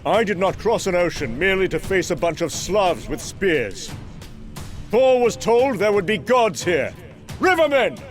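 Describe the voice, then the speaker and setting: A man acts out a proud young Norse thunder god in a dramatic audio drama. He is boastful and commanding, with slow delivery and big swings in pitch, over clear background music. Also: big pitch swings. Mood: defiant.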